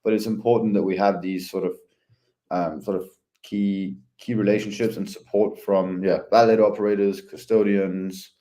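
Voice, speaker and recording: A man chatting casually as a podcast guest, easygoing and hesitant with many pauses. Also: lowish voice, flat delivery, dark tone. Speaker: head of ecosystem, podcast guest.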